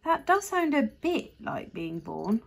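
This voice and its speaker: Curious, bold young girl, higher and eager; woman reader voices her. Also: sing-song, moderate pace, darkish tone. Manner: eager, questioning, polite. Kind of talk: storybook reading.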